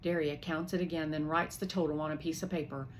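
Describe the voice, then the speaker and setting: A woman reading a children's novel aloud with lively expression, pausing to explain words to young listeners. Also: deep voice, a little hiss or hum. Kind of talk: expressive read-aloud for kids.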